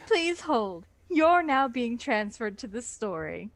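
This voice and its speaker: Woman co-host, kinda high voice, dry teasing banter, super clean dry room. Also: very animated, slow, dry recording. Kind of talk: casual banter.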